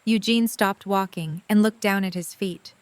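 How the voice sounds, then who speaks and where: Female narrator for scripted fiction, smooth and crisp, average pitch. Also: steady tone, unhurried, no room sound.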